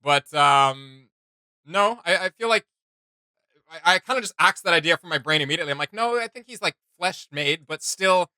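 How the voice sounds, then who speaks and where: A man's voice: on the high side, animated, normal speed, sharp tone, clear, resonant tone, no echo, clean studio sound. A man giving casual reaction commentary as a host, rambling and acting out imagined quotes as he goes.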